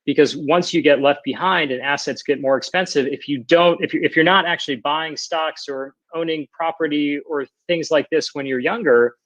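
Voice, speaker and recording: A man's voice: a little high, normal speed, few pauses, fairly bright tone, dry recording, lo-fi sound. A man speaking as a guest in a panel discussion, explaining earnestly and often repeating words as he thinks. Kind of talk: panel discussion on an audio chat app.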